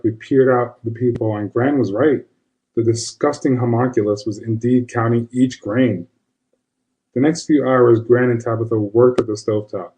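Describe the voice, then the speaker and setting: A man's voice: mid-range voice, mellow, natural voice, low-quality recording. A man playing an ordinary, square fellow telling a first-person tale in a conversational, confiding way. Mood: wry. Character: self-described square man recalling his witch grandmother. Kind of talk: first-person storytelling monologue.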